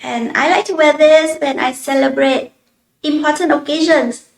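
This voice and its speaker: A woman's voice: sits high, steady tone, creaky, roomy sound. A woman in her thirties from northern Vietnam with a Vietnamese accent, working as a social worker, introducing herself carefully to the camera. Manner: slow, careful and deliberate.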